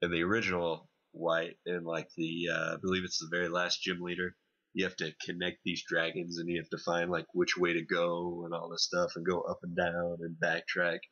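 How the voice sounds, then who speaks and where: A man co-hosting a podcast, giving a casual video game review in a hesitant, explanatory way with many pauses. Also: low voice, completely flat delivery, pushed and bright, lo-fi sound. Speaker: podcast co-host reviewing games.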